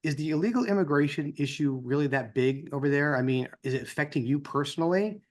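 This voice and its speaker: Higher-pitched American man giving an informal speech. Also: quick pace. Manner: conversational, acts out dialogue in anecdotes. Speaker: organization co-founder giving a speech. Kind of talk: informal speech with personal anecdotes.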